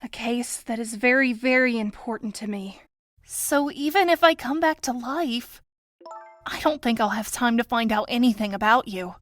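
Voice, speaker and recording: A young woman playing an excitable, earnest young detective in a video game fan dub, with expressive, peppy delivery. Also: on the high side, normal speed, natural voice. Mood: apologetic, pleading.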